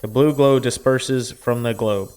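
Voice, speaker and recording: A man's voice: medium pitch, steady tone, a little slow, a little mellow, clear, resonant tone, faint music behind. A man reading a visual novel aloud as a gaming streamer, in a storytelling style with casual asides.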